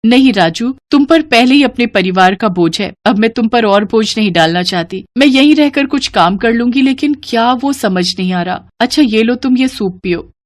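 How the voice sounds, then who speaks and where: Woman playing an animated, kind village mother. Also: a little high, normal speed, hardly any pauses, close to an everyday voice. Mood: gentle. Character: kind, hard-working village mother and soup seller.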